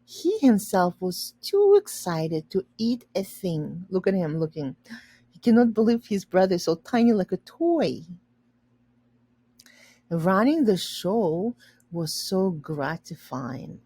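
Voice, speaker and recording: A woman teacher reading a storybook aloud, pausing to explain and rephrase words simply for learners. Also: fairly low pitch, very animated, dark tone, slightly rough, no echo.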